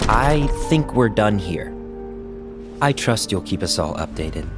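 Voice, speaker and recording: An actor playing a cool, calculating member of a fantasy order in a scripted game scene. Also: male voice, lowish voice, sing-song, slow, music in the background. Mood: dismissive. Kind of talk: scripted game cinematic acting.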